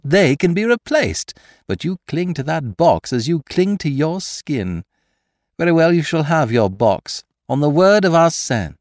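A man voicing a triumphant, mocking gentleman thief in an audiobook, theatrical and commanding, firing off questions and taunts. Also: medium pitch, no room sound, studio quality, voice pushed up. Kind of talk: dramatised audiobook character voice.

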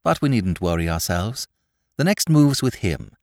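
Man as a calm ironic gentleman, lively intonation, dry close sound. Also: on the low side, moderate pace, no echo. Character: suave young gentleman ex-crook, cool and wry.

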